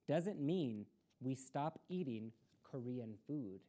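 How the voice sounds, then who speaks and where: A woman's voice: a bass voice, animated, slow pace, warm tone, full character voice. A woman voicing a caring, firm immigrant mother in a storybook, speaking earnestly and instructively.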